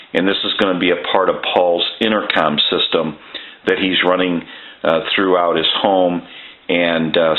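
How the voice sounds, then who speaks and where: Deep-voiced man explaining a telephone repair. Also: reverberant, phone-quality sound. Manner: plain step-by-step explaining with frequent "uh" pauses. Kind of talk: workshop repair walkthrough. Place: repair workshop.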